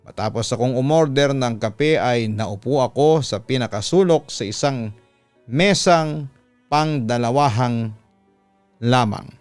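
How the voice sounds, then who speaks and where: A man with a Filipino accent reading a listener's letter as a radio-style storyteller, smooth and resonant, in a measured, deliberate way. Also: medium pitch, no echo. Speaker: radio announcer and story channel host. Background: background music.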